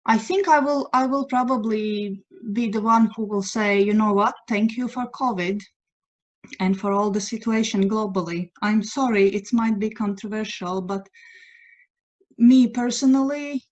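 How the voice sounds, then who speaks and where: Latvian woman, halting English, muffled call. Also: mid-range voice. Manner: halting, searches for English words. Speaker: guest writer sharing news. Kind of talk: casual chat on a video call. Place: at home by a window at night. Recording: dull lo-fi video call audio.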